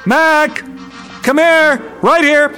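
A man's voice: extremely high pitch, steady tone, drawn-out words, over music, heavily acted. An actor playing a desperate, urgent father in a suspense radio drama. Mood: urgent calling. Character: desperate father urgently calling dog and lost daughter.

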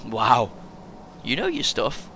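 A man's voice: wildly swooping pitch, slow, bright, forceful tone, full character voice. A man playing a cheeky, chatty British runner in his twenties in an audio play, with a casual, laddish manner. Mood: impressed, friendly. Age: young adult. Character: cheeky, chatty young male marathon runner, 23. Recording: clear vehicle rumble noise. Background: vehicle ambience.

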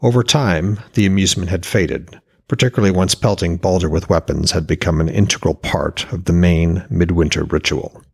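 Low, creaky-voiced male storyteller. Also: fairly even intonation, moderate pace, hardly any pauses, darkish tone. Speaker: author and podcast narrator. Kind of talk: scripted fantasy story narration.

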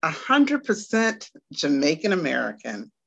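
A woman from Washington, D.C., a Montessori teacher, answering interview questions in an unhurried way with frequent pauses. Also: low voice, sing-song, vocal fry, husky, dull audio. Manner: unhurried, with many "um" pauses and small laughs.

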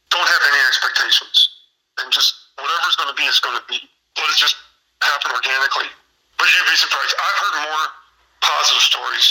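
A man's voice: fairly high pitch, gravelly, sharp tone, husky, rough recording. A man in his fifties from Illinois, telling his personal story as a podcast guest and often repeating words as he restarts. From Illinois, USA. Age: 50s.

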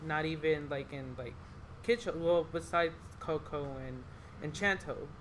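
A teenage girl, a student guest, talking in a rambling, halting way full of fillers. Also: low voice. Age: teens. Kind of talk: casual chat. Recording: noisy room.

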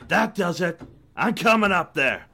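A man playing a stern, exasperated janitor in an audio roleplay, scolding and demanding with a rough-edged voice. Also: sits high, expressive, unhurried, very noisy, full character voice. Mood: angry. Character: stern, exasperated male building employee guarding his keys.

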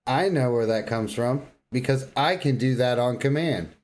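A man's voice: medium pitch, moderate pace, a little mellow. A man co-hosting a podcast, chatting casually about games with crude, informal joking. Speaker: podcast co-host. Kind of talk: casual gaming chat.

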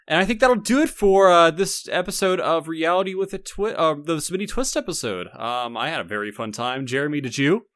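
A man's voice: on the high side, expressive, brisk, few pauses, a bit bright, no echo, clean studio sound. A man co-hosting a podcast in casual, comedic banter, with a playful, giggly manner. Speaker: podcast co-host.

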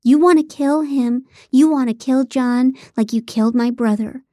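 A woman's voice: high-pitched, moderate pace, warm tone, no echo, studio quality, voice pushed up, lightly acted. A woman voicing a frantic, vengeful woman who hurls accusations at a killer in an audiobook. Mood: furious, frantic.

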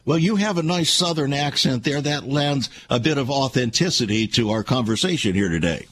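A man's voice: medium pitch, lively intonation, moderate pace, fairly bright tone, slight background noise. A man hosting a talk show, delivering a rhetorical, emphatic monologue full of repeated questions. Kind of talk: opinion show monologue and interview.

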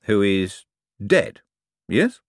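A man's voice: fairly low pitch, big pitch swings, very slow, dry recording, clean studio sound, exaggerated voice. A man reading an audiobook, voicing a doubtful, pointed young woman who asks skeptical, probing questions. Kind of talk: audiobook character voice.

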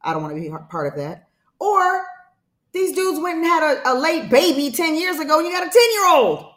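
Brief: frank, emphatic woman in her 40s, higher voice, roomy sound. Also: sing-song, fairly quick, fairly bright tone. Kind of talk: candid casual chat.

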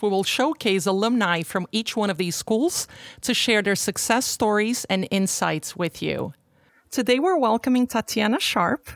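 A woman hosts a podcast, delivering a scripted introduction and questions. Her delivery is polished and welcoming at a middle pitch, and the sound is dry with no room echo. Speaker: podcast host.

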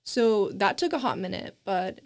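A woman's voice: mid-range voice, expressive, moderate pace. A woman in her twenties, a college student chatting casually on a panel, talkative and emphatic with frequent laughs. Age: 20s. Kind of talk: casual group chat.

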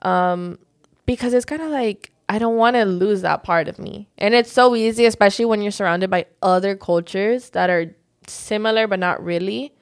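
A young woman in casual conversation, speaking with animated energy. She laughs often and leans on fillers like "like" and "honestly". Her tone stays relaxed and open. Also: medium pitch, normal speed. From United States. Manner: animated, laughing, frequent likes. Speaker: guest.

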